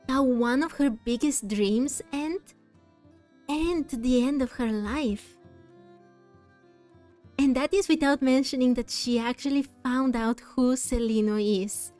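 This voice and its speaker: Girl doing a chill let's play, high voice, talks slow, thinks out loud, faint game music behind. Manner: musing aloud, reflective. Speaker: gaming video host. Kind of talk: casual let's-play commentary.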